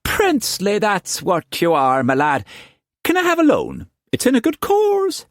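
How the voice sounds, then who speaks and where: A man's voice: high-pitched, wildly swooping pitch, measured pace, no echo, clean studio sound, pitched above the performer's own voice, heavily acted. A man voicing a flamboyant, theatrical old male painter who talks in grand exclamations. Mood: wheedling.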